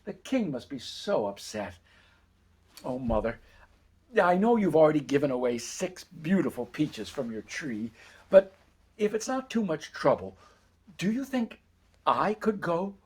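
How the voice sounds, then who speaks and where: A man plays a kindly, naive fairy-tale lad, a little high and husky. He talks in a hesitant, apologetic, earnest way, always polite. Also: very animated, a little slow, long pauses, a little mellow, heavily acted. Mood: worried.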